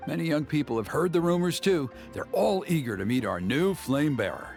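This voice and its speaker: A man voicing a courteous, earnest village elder in a video game, speaking in a formal, measured, insistent manner. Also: fairly high pitch, lively intonation, gravelly, darkish tone, faint music behind. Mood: welcoming. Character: earnest, courteous male village elder, anxious for his people. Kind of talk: video game character acting.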